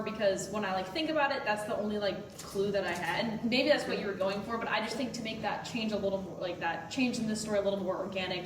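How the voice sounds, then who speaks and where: Female audience member, mid pitch, tentative casual critique, clearly noisy room. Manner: appreciative, tentative, informal. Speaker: audience member giving feedback. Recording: echoey room, distant mic, noisy.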